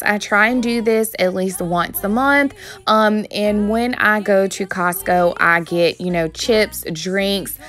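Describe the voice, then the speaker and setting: A woman's voice: mid-range voice, fairly bright tone. A woman in her thirties narrating a home vlog in a chatty voice-over, often calling out to viewers as "you guys". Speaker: vlogger.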